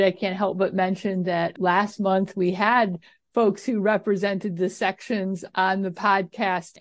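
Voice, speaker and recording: A woman's voice: medium pitch, darkish tone, no echo. A woman co-hosting a podcast, with a mid-range voice. She is friendly and upbeat, and her sound is dry and close to the mic. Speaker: podcast co-host.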